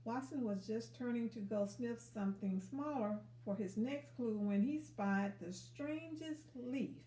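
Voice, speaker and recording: Storybook reader: woman, high voice, slow and encouraging delivery, expressive, echoey room. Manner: encouraging, asks young listeners questions. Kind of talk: expressive read-aloud story narration.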